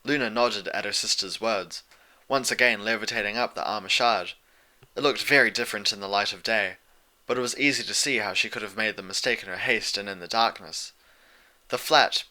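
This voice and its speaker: A man's voice: on the low side, pushed and bright, poor audio. A man reading fan fiction aloud as scripted story narration.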